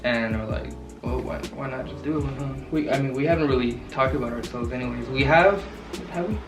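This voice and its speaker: A young man of nineteen rambles in relaxed slang about his relationship for a vlog. He sits back from the mic, and the room rings with echo. Music plays underneath. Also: medium pitch, a little fast. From Southern California. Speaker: vlogger. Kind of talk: casual couple Q&A chat. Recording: roomy echo, mic far off, music behind.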